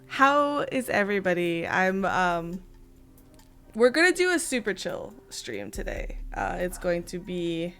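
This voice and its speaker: A woman hosting a laid-back livestream, her voice hoarse and frayed. She speaks slowly, giggling as she chats with her viewers, with faint music behind her. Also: lowish voice, big pitch swings, fairly bright tone. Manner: giggly, chatty, talks to chat. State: hoarse, partly lost voice. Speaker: streamer host. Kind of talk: casual livestream chat.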